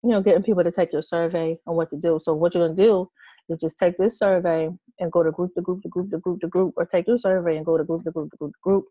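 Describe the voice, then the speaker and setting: Hoarse woman talking through a tutorial. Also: fairly low pitch, steady tone, fast talker, dark tone, no room sound, muffled recording. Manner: rambling, hesitant, many fillers. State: hoarse, losing her voice. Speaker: online business tutor. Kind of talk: casual screen-share tutorial. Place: small room with red curtains, indoors.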